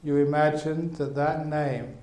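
Measured monk in a roomy hall. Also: male voice, fairly high pitch, fairly even intonation, darkish tone. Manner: measured and unhurried, pausing in short phrases. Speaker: Buddhist monk teacher. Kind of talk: dharma talk with an interpreter. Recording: roomy echo.

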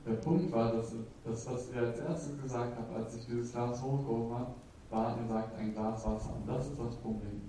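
A man's voice: medium pitch, steady tone, normal speed, few pauses, mellow, a little hiss or hum. A man interpreting a teaching live, phrase by phrase, sometimes hesitating with filler sounds. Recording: very echoey, from a distance.

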